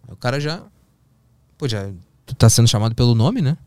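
A man's voice: medium pitch, expressive, brisk, darkish tone, smooth, dry recording. A man with a Porto Alegre accent from southern Brazil, telling an anecdote casually and expressively, with slang and acted-out dialogue. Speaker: podcast guest, television producer and director.

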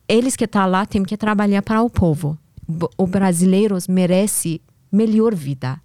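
A woman from Iran with a strong Persian accent, a podcast guest answering questions haltingly in a language not her first. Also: mid-range voice, a little mellow, no echo, studio quality. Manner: halting, searching for words. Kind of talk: casual interview answers.

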